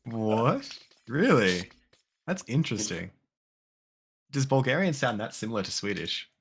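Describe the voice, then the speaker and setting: A man's voice: mid-range voice, big pitch swings, unhurried, slightly rough. A man chatting casually while streaming a modded game, rambling and swearing loosely. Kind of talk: casual gaming chat.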